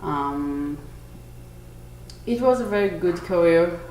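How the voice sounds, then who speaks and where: A woman in her forties from Israel with an Israeli accent, a bakery owner answering interview questions earnestly, pausing to search for words. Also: fairly low pitch, lively intonation, a little mellow. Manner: earnest, with small restarts and searching pauses. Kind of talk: on-camera interview. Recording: echoey room, faint noise.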